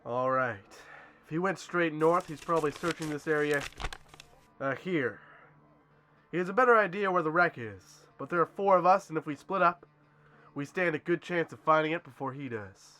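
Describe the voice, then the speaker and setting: A man's voice: a little high, moderate pace, bright, forceful tone. A man playing a ruthless, commanding henchman leader in a sci-fi audio drama. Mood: businesslike. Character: ruthless male henchman leader hunting a rival.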